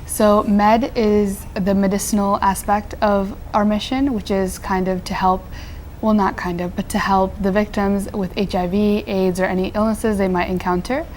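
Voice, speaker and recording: A woman's voice: medium pitch, little pitch movement, normal speed, darkish tone, slight background noise. A teenage girl from Nepal, a nonprofit founder answering interview questions earnestly, with frequent fillers and restarts. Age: teens.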